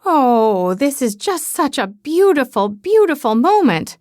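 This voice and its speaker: A woman voicing a loud, tearful, gushing older aunt. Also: high voice, very animated, measured pace, dry recording, studio quality, voice pushed up.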